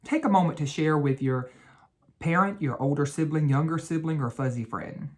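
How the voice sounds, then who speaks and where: A man speaking as an educator in a bedtime read-aloud for children, with a gentle, instructive way of talking and drawn-out key words. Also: fairly high pitch.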